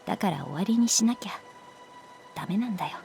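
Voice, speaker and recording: A woman playing a caring, composed female homeroom teacher in a Japanese voice drama, speaking in a calm, measured and gentle way. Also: medium pitch, vocal fry, soft background music. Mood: firm, restrained.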